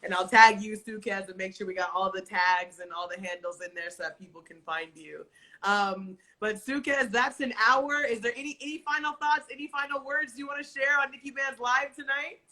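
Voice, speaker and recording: A woman's voice: on the high side, fairly even intonation, normal speed, sharp tone. A woman hosting an online interview show, gushing and upbeat, with lots of "like" in her talk. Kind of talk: enthusiastic casual interview hosting.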